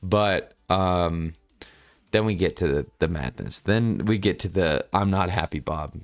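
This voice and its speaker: Male reviewer, low voice. Casual off-the-cuff commentary, halting and rambling, circles back over his own phrases. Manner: rambling, halting, repeats himself. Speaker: manga chapter reviewer.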